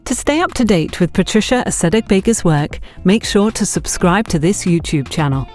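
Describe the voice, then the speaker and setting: A woman's voice: fairly low pitch, lively intonation, moderate pace, darkish tone, faint music behind. A woman reading a scripted story as an audiobook narrator.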